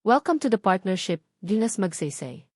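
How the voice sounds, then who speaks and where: A woman's voice: medium pitch, sing-song, normal speed, darkish tone, no room sound. A cold, powerful Filipino businessman voiced by a woman narrator in an audio romance. He speaks formally and with clipped professional firmness, never yielding. The recording is dry. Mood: formal, cool. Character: cold, businesslike, powerful male executive, unyielding.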